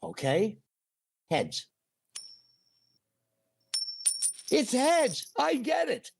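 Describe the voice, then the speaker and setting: A man's voice: extremely high pitch, huge pitch swings, slow, gravelly, slight background noise, heavily acted. A man playing a hapless, befuddled and meek fellow who gets flustered, in an old-time radio comedy. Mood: indignant, flustered.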